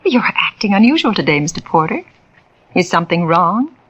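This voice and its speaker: A woman's voice: lowish voice, big pitch swings, fairly quick, a bit bright, slightly rough, full character voice, low-quality recording. An actress playing a middle-aged fake spiritualist woman in a 1940s radio thriller, syrupy and self-righteous. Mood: coaxing. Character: scheming middle-aged female spiritualist, wheedling and proud.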